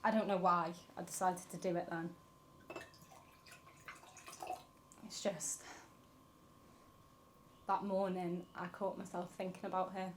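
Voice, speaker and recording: A woman's voice: fairly low pitch, level tone, moderate pace, a little hiss or hum, close to an everyday voice. A woman playing a grieving granddaughter, reflecting confessionally on her fractured family in a film monologue. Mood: wistful. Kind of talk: dramatic monologue in a short film.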